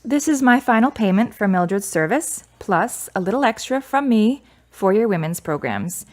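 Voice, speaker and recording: A woman's voice: mid-range voice, expressive, normal speed, lightly acted. A woman acting as a grieving daughter in a radio drama, guarded and skeptical, with a wry, blunt manner.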